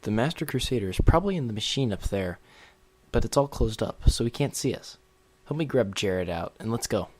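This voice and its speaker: A man's voice: medium pitch, lively intonation, brisk, slight background noise, close to an everyday voice. A man playing a suspicious, commanding agent on the run in an audio drama, terse and interrogating. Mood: brisk, commanding.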